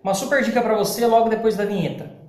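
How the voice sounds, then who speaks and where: A man's voice: high voice, steady tone, fast, a little mellow, slight background noise. A man in his forties from Brazil, giving a casual, chatty tile-setting tutorial in an unfinished kitchen and often calling out to his viewers. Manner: chatty, calls viewers "pessoal" and "galera". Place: unfinished apartment kitchen, indoors. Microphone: camera at close range. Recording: echoey bare room.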